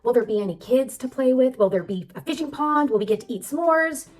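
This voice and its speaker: A woman voicing an excited, helpful young girl in a storybook, eager and full of questions. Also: high voice, fairly quick, faint music behind.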